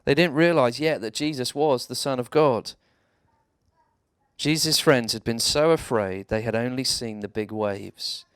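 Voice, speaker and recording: A man, a reverend, telling a children's bedtime story in a measured, dramatic way with deliberate pauses. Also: mid-range voice, clean tone, dry recording, studio quality.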